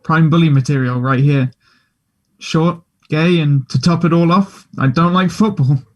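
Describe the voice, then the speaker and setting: Man playing a higher-voiced, earnest teenage boy. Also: measured pace, close to an everyday voice. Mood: candid, vulnerable. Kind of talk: play table read, naturalistic dialogue.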